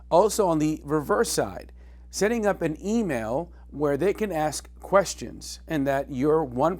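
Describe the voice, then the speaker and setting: A man's voice: on the high side, darkish tone. A man working as a leadership coach, presenting business advice in an earnest, instructive way with emphasis on key words. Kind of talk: direct-to-camera business advice.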